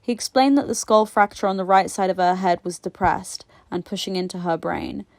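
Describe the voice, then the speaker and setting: A woman reading a formal report aloud in a measured, matter-of-fact way. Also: fairly low pitch, darkish tone, no echo, clean studio sound. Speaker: reader of a report.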